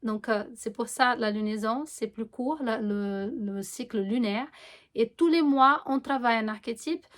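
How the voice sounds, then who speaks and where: Woman astrologer, higher register, casual and earnest, halting delivery with repeated words. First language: Portuguese. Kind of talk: casual explanation to camera.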